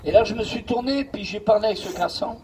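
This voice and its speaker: Male pastor with a notably high voice, preaching with emphatic repetition and storytelling. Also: quick pace, darkish tone, a little hiss or hum, poor audio. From Paris region, France. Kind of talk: preaching with congregation responses.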